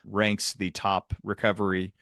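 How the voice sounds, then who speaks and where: A man hosting a podcast, talking through clinical topics in a halting, thoughtful, conversational way with technical vocabulary. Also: lowish voice, completely flat delivery, a bit bright, no echo, clean studio sound. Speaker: podcast host, psychiatrist.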